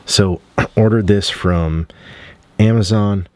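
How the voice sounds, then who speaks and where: A man giving a casual product review at home, loose and relaxed, often clearing his throat and using fillers. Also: fairly low pitch, takes it slowly.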